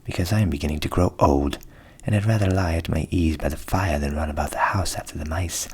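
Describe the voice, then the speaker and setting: A man voicing a weary, self-pitying old female cat from a storybook, plaintive and soft-spoken in a low, creaky half-whisper. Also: a little fast, exaggerated voice. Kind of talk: storybook character voice in ASMR reading.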